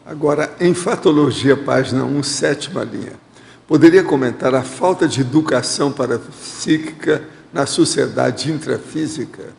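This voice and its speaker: Brazilian male host, gravelly voice, direct advising tone, echoey hall sound. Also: medium pitch, normal speed, a little mellow, slight background noise. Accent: Brazilian Portuguese. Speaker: host reading viewer questions. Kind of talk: studio Q&A, answering questions.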